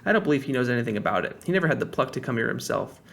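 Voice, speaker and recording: A man's voice: medium pitch, animated, very fast, gravelly, fairly bright tone. A man reading aloud, voicing an eager, adventurous young boy with a raised, boyish lilt for a storybook.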